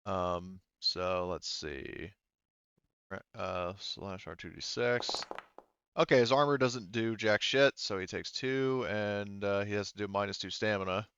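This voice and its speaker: A man with a fairly deep, clean voice runs a tabletop game. He thinks out loud in halting bursts and swears casually. The sound is close and dry but slightly dull. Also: steady tone, clear, resonant tone, dry recording. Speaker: game master. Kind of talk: running a tabletop roleplaying game, casual narration.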